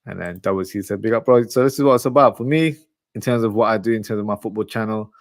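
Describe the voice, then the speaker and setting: Low-pitched man hosting a football chat. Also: fast talker, dry recording, clean studio sound. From Pakistani family from Lahore. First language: Urdu spoken at home. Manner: informal, conversational, addressing viewers directly. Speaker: football podcast host. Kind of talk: casual live chat, match preview and reading comments.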